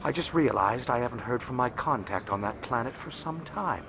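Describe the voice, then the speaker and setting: An actor voicing a courteous, worldly alien merchant in a video game, speaking formally and musingly. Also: male voice, medium pitch, animated, moderate pace, gravelly, noisy background.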